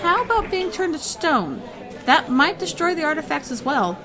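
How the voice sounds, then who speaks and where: Woman as curious female adventurer, high pitch, animated intonation, fantasy audio drama, crowd behind. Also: moderate pace, background noise. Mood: questioning.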